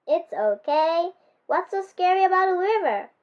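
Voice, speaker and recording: A ten-year-old girl voicing a bold, excitable male toy penguin in a storybook, confident and exclaiming. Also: extremely high pitch, moderate pace, dull audio. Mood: breezy reassurance.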